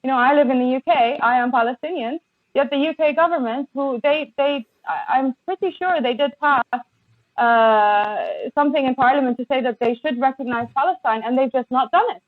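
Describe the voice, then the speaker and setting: A woman's voice: high voice, dry recording. Impassioned woman on a tinny line. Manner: halting, many restarts and repeated words. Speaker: podcast guest. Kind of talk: impassioned conversation. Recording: tinny phone-like line, dry.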